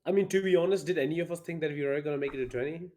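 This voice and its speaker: A man's voice: a little high, racing, mellow. A man in his twenties co-hosting a stream, teasing and swearing in casual banter. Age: 20s. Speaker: stream co-host.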